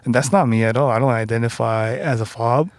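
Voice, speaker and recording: A man's voice: medium pitch, a little fast, no echo. Young man with a Koreatown accent. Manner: playful, self-mocking, laughs a lot. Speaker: guest. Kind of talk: casual podcast chat.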